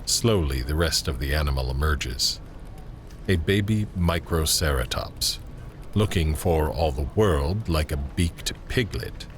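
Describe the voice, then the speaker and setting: A man narrating an audio drama in measured, descriptive scripted prose. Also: very deep voice, animated, a little hiss or hum, heavily acted. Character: male narrator setting scenes, measured and descriptive. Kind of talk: scripted present-tense scene narration.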